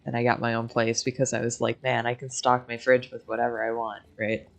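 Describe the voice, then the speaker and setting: A woman's voice: extremely low pitch, level tone, a little fast, gravelly. A woman co-hosting a game livestream, talking casually while she plays. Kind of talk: casual chat while gaming.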